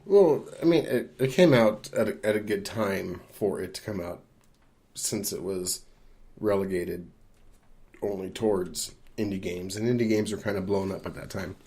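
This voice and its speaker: A man with a fairly low-pitched voice, speaking as a podcast co-host. He gives his opinions on films in a casual, measured, conversational manner. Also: dark tone. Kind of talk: casual film discussion.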